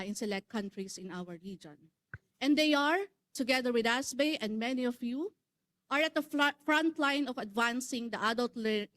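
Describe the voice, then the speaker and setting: A woman's voice: a little high, no echo. Formal Filipino woman giving a welcome speech. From Philippines. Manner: measured, formal delivery with some fillers and restarts. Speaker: secretary general of a regional adult education network. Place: conference hall.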